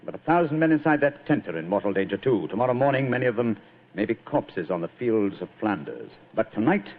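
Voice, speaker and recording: A tinny old radio recording carries a shrewd British male detective. He is assured and theatrical, with a very lively, swooping delivery and crisp authority, in vintage radio drama style. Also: fairly low pitch, fairly quick. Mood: resolute.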